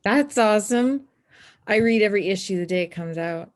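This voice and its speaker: A woman's voice: mid-range voice, animated, normal speed, close to an everyday voice. A woman reading the part of a nervous, self-doubting young snowboarder in a screenplay table read. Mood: eager.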